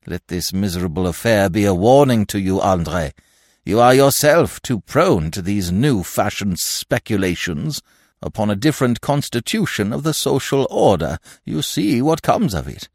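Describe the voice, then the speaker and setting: A man reading aloud and voicing a testy, blustering elderly nobleman who grows shrill when crossed. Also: very animated, moderate pace, no echo, studio quality. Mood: lamenting. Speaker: provincial lord and godfather.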